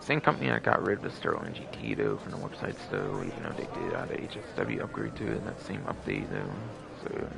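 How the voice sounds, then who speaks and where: A man's voice: sits low, huge pitch swings, quick pace, vocal fry, few pauses, over a noisy crowd. A man hosting a game livestream with casual, rambling, repetitive commentary.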